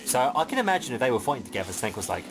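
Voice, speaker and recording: A man's voice: medium pitch, big pitch swings, racing, music in the background. A young man giving casual, sweary let's play commentary as a gaming commentator. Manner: swears casually, acts out imagined dialogue.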